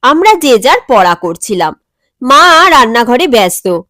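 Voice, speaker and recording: High-voiced woman narrating a story, unhurried. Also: big pitch swings, bright, forceful tone, no room sound, clean studio sound. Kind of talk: scripted audio story narration of a comic family tale.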